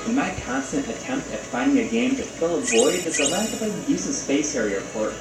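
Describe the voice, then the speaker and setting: A man's voice: mid-range voice, very animated, fairly quick. A man hosting a video game review show, narrating a scripted essay in casual, conversational phrasing. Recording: roomy, distant, loud music over voice. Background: music.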